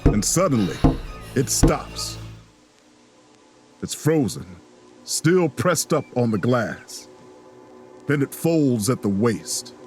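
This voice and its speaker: A folksy, watchful traveler in an audio drama. He takes his time with each line and swings his pitch expressively in a soft drawl, with faint music behind him. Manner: slow, suspenseful first-person narration. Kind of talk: audio drama narration and dialogue.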